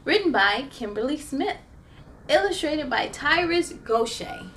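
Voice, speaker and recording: A woman hosting a story time for children, reading and chatting in an animated, playful way. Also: sits high, unhurried, slight background noise. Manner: animated, exclamatory, playful with sound effects. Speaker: story time host reading picture books. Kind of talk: children's read-aloud storytelling.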